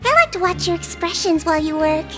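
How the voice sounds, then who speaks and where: A little nonhuman nurse in an animated game. The voice is extremely high, sweet and childlike, with a gentle, polite, caring manner. Music is clearly heard behind it. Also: female voice, fast. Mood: cheerful, admiring. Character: small sweet nonhuman creature nurse, caring and serenely odd. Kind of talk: animated video game dialogue.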